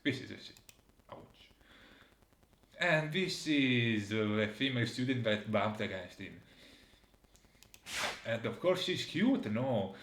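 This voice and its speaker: A man speaking as a comments on a game in an exasperated, sarcastic tone. His delivery is halting and hesitant, at an average pitch. The room echo is clear around his voice. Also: expressive, a bit bright. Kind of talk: casual critical game commentary, reading out dialogue. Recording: roomy, echoey voice recording.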